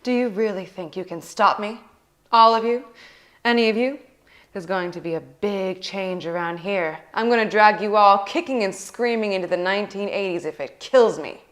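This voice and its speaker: Woman reading as a bossy mocking businesswoman, brash n condescending, drama table read, normal female pitch. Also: normal speed, natural voice. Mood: scornful, defiant. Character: brash, scheming female shareholder challenging an all-male board.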